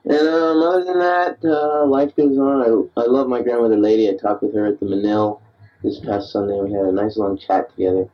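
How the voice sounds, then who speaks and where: A man's voice: fairly low pitch, flat delivery, fairly quick, far from the mic, lo-fi sound. A man of thirty-one, a bit drunk after beers, rambling and self-deprecating as he records a late-night diary on an answering machine. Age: 30s. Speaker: diarist recording himself.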